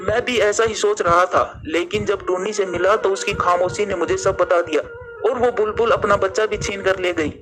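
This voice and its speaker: Cartoon bird friend: male, high-toned, serious and earnest, voiced by a man, clear music underneath. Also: fairly even intonation, normal speed, natural voice, poor audio.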